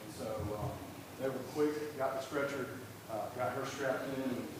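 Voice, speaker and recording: Man, loud and halting, recorded from far off in a noisy echoing hall. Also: mid-range voice. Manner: halting, many fillers and restarts. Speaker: husband telling his story at a meeting. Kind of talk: personal account at a public meeting. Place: county board meeting room. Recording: echoey room, mic at a distance, noisy.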